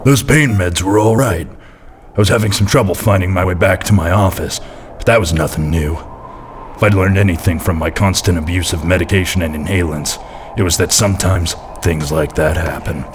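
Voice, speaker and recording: An actor playing a boozy, crude, surly detective in a deadpan hardboiled noir parody, grumbling gruffly. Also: male voice, deep voice, normal speed, background noise, lightly acted. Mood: deadpan narration. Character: boozy, crude, surly pill-popping male private detective.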